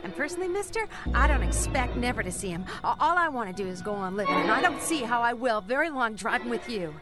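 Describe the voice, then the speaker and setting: A woman's voice: fairly high pitch, very animated, quick pace, music in the background. An actress playing a brash, plain-spoken young woman hitchhiker in a suspense radio drama, with a slangy, blunt way of talking. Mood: alarmed.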